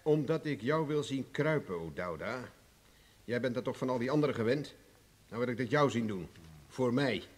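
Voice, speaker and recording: A male actor plays a confident, hard-bargaining man in a radio play. His voice sits at a middle pitch. He gives orders and needles his opponent with mocking assurance. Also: expressive, moderate pace, lightly acted. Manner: self-assured, taunting, commanding. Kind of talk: radio drama acting.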